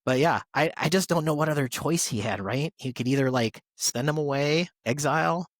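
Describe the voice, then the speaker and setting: A man's voice: fairly high pitch, moderate pace, no echo, studio quality. A man chatting casually and enthusiastically as a podcast panelist. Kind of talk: casual chat.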